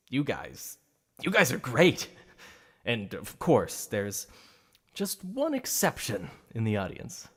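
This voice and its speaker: A man playing a showboating supervillain. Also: mid-range voice. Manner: showy, mocking, playful. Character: theatrical male supervillain, boastful, secretly obsessed. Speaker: ASMR roleplay performer. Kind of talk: ASMR roleplay.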